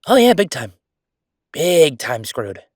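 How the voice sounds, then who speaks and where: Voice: mid-range voice, very animated, takes it slowly, darkish tone, dry recording, clean studio sound. An actor playing a chatty, sardonic ghost roommate in a roleplay audio, talking in a casual, teasing, exclamatory way. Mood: incredulous, teasing. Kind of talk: roleplay audio acting, conversational.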